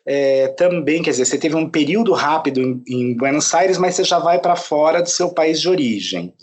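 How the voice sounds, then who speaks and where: Lively male interview host. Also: on the high side, normal speed. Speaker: interviewer and food critic. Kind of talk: hosting an interview.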